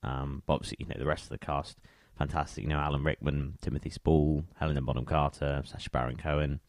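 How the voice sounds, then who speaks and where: A man's voice: rumbling low, level tone, fast, no echo, studio quality. A man chatting casually as he hosts a music radio show. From England. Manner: chatty, conversational.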